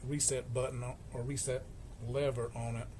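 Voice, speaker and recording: A man giving an informal, halting, explanatory narration of a hands-on repair, as a repair vlogger at a workbench. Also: medium pitch, level tone. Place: indoor electronics workbench. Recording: clearly noisy workshop camera recording.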